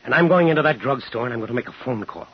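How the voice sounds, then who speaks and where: An actor playing a defiant small-town man who stands up to a crime boss, clipped and deliberate, in 1950s radio thriller style. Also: medium pitch, expressive, breathy edge. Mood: quietly menacing. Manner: hard-boiled, clipped, deliberate. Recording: muffled 1950s radio broadcast, music behind.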